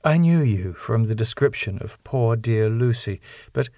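A man's voice: medium pitch, expressive, unhurried, mellow, no echo, studio quality. A man voicing a polite, eager, sweet young Victorian woman in an audiobook. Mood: polite, hesitant. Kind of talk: audiobook character voice.

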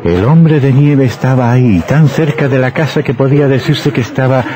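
An actor playing a sinister, mocking horror narrator in an old radio drama, theatrical, with drawn-out words and dramatic pauses. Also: male voice, mid-range voice, over music, close to an everyday voice. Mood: ominous. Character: sinister male horror storyteller, slow, mocking, gleefully macabre.

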